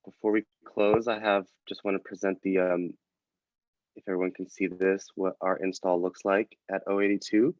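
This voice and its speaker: A man's voice: deep voice, flat delivery, moderate pace, a little mellow, no echo. A man, a program participant, closing with a brief plain announcement over dull, dry call audio.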